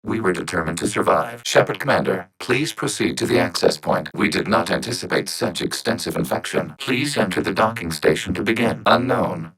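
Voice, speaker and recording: Video game robot, low-pitched, synthetic processing, calm, logical, formal and precise, emotionless delivery. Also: male voice, brisk, gravelly, hardly any pauses, heavily acted, poor audio. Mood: flat, analytical. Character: calm, logical male-voiced synthetic robot, a networked machine collective. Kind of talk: scripted video game character acting.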